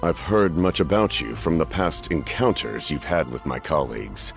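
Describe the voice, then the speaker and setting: A scheming game faction leader, formal and persuasive. His voice sits very low and grinds with grit, yet it swings widely as he makes his case. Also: big pitch swings, normal speed, soft background music, exaggerated voice. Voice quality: deep gravelly voice. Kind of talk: game voice acting.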